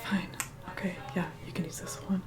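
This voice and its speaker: A woman's voice: on the low side, flat delivery, a little fast, lightly acted. A woman playing a haughty, impatient immortal vampire in a whispered ASMR role-play, curt, condescending and bossy as she reluctantly helps. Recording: close whispered voice under loud music. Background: music.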